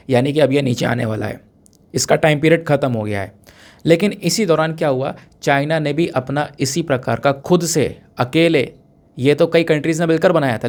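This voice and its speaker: A man from India with a sore throat, lecturing conversationally on current affairs as an exam-prep teacher, explaining through questions and mixing in English terms. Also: medium pitch. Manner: explanatory, poses questions and answers them, code-mixes English terms.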